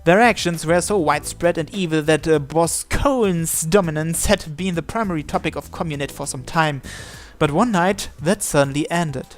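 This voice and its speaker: A man's voice: on the high side, normal speed, few pauses. A man reading visual novel story text aloud as narration, sometimes stumbling over a word and repeating it. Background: background music.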